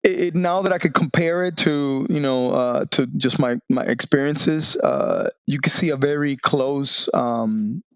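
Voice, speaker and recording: A Spanish-native man in his forties speaks English with a Dominican accent, his voice gravelly with fry. He pauses and restarts as he recalls things out loud. The recording is dull and lo-fi, with a dry, close sound. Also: mid-range voice, dry recording. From Dominican Republic. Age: 40s. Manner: halting, with many restarts and fillers. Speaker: guest, Navy veteran. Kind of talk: reflective podcast interview.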